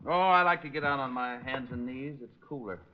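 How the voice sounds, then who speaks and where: An actor playing a wisecracking, unflappable tough private detective with a wry, teasing manner, in mid-century radio drama style. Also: male voice, medium pitch, a little fast, no echo, lightly acted. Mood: wry, breezy. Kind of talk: 1940s-50s radio detective acting and narration. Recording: muffled old radio recording, music behind.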